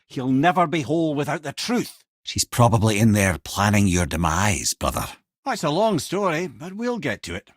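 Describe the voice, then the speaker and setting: A man's voice: mid-range voice, fairly bright tone, no room sound, clean studio sound. A man voicing an older, wry and learned severed head with a Scottish accent, telling tales and bantering as a companion in a video game. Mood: wry, urging. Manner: wry, erudite, avuncular, theatrical storyteller. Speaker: companion and storyteller.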